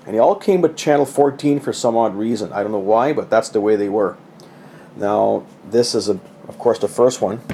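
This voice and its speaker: A man's voice: fairly low pitch, darkish tone, slight background noise. A middle-aged man giving a casual, rambling, folksy show-and-tell of his gadgets, with a self-deprecating way of talking. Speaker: hobbyist presenter.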